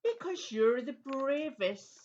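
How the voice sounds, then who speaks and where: A woman's voice: high-pitched, very animated, slow pace, a little mellow, lo-fi sound, slight background noise, full character voice. A woman playing a big, hairy, childlike monster who is eager to serve, in a children's audio play. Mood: concerned.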